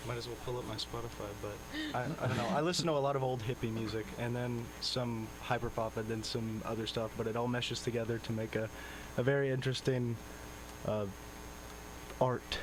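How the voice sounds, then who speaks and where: A man's voice: medium pitch, steady tone, a little fast, noisy background. A man from Tennessee chatting casually as a band member being interviewed in a radio studio. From Tennessee, United States. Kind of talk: casual chat.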